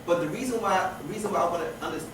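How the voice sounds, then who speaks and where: Man, teacher lecturing a class, rather high voice, loud echoey room, noise in the background. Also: racing, distant mic. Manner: repeats and restarts phrases, asks rhetorical questions.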